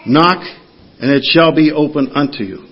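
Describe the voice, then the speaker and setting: A man's voice: on the high side, moderate pace. Earnest preacher man, dull lo-fi sound. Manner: earnest teaching, quotes scripture, asks the congregation questions. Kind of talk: sermon.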